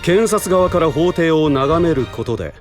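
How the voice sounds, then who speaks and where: A man's voice: on the high side, animated, over music, lightly acted. Man acting a lofty, composed prosecutor, swooping intonation. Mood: slyly knowing. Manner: formal, measured, lofty. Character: composed, authoritative male prosecutor, formal and slyly knowing. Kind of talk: Japanese audio drama acting.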